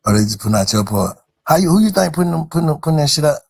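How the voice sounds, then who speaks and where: A man's voice: fairly high pitch, big pitch swings, rapid-fire, creaky, breathy edge. A man hosting a podcast, pressing his guest with probing questions. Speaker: podcast host. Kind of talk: casual interview.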